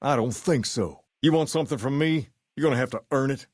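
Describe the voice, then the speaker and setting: Game role: suave male gang boss, played by a man, cocky crooner-idol swagger, slangy, mid-pitched, dry studio sound. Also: a little fast, darkish tone, dry recording, close to an everyday voice. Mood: firm, dismissive. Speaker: video game voice actor. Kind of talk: scripted game character dialogue.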